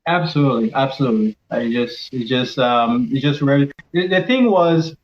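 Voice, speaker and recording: A man's voice: mid-range voice, lively intonation, normal speed, dull audio. Earnest man from Cameroon, reflective. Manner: reflective, earnest, with many restarts and fillers. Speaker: pharmacist and leadership coach, guest. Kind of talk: casual interview answers.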